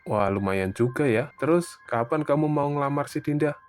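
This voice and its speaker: A man playing a friendly older-brother figure, casual, teasing and full of advice, in an animated cartoon. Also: on the high side, moderate pace, natural voice. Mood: friendly, curious. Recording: voice over prominent background music.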